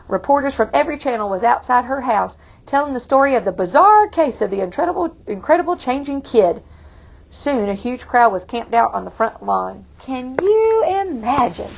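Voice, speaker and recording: A woman's voice: fairly high pitch, sing-song, normal speed. A woman reading a storybook aloud to children, expressively, with engaging asides to her young listeners. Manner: engaging, asks listeners questions. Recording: muffled, clearly noisy room recording.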